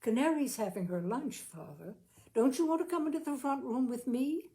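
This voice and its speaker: A woman voicing a brisk, patronizing housewife who manages an elderly relative in a storybook reading. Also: sits high, expressive, dark tone, voice pushed up. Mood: politely managing. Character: brisk, managing younger housewife humoring her father-in-law.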